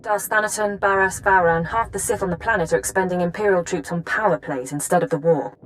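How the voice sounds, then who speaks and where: A woman's voice: on the low side, a little fast, slight background noise, close to an everyday voice, low-quality recording. Composed intelligence handler, acted by a woman. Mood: composed, serious. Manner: precise, formal. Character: brisk, composed female intelligence analyst handler. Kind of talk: video game voice acting.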